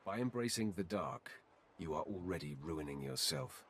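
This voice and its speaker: A grave Sith warrior from a video game, with a very low voice full of gravel. He speaks formally and solemnly, in measured phrases. There is clear noise behind the voice. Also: animated, a bit bright. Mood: solemn, admonishing. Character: deep-voiced Sith warrior confronting his solemn mirror-image light self. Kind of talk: video game dialogue acting.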